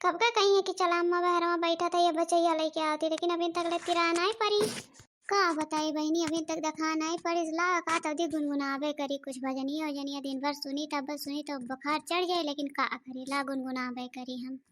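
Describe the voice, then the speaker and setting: A woman's voice: high-pitched, steady tone, normal speed, fairly bright tone, slight background noise. A woman voicing a bossy, complaining elderly village mother-in-law in cartoon comedy, with an Awadhi accent. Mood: grumbling. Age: older.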